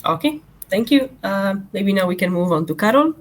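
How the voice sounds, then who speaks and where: A woman's voice: medium pitch, lively intonation, normal speed. A woman moderating an online panel, polite and slightly hesitant, with frequent fillers, on a video call.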